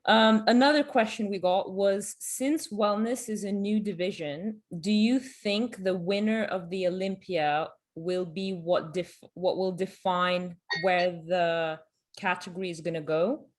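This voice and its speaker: A woman's voice: mid-range voice, steady tone, a little slow. A woman co-hosting a podcast, asking questions and chatting in an easygoing, agreeable way. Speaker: podcast co-host. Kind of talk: casual interview chat.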